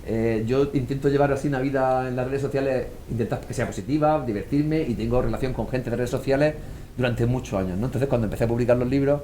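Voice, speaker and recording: A Murcian man speaks fast in a mellow, darker voice, telling stories and slipping into the voices of the people he quotes. A faint room hum sits behind him. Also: mid-range voice. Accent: Murcian Spanish. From Murcia, Spain. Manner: animated storyteller, acts out quoted voices. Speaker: author guest. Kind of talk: casual conversational talk.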